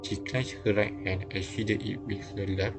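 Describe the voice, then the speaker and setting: A man with a fairly low voice narrates a visual novel live. He reads haltingly and adds casual remarks, with game music clearly behind him. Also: flat delivery. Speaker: streamer reading a visual novel aloud. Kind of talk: live read-aloud narration with casual comments.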